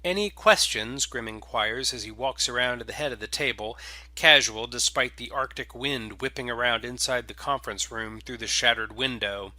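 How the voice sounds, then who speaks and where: A man reading scripted space opera fiction as a dramatic, deliberate narrator. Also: mid-range voice, fairly even intonation, bright, forceful tone, no echo.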